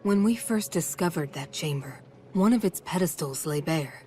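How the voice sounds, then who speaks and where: An actress playing a thoughtful, scholarly royal woman in a video game, grave, deliberate and formal. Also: sits low, animated. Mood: grave, pensive. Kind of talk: video game cutscene acting. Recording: music behind the voice.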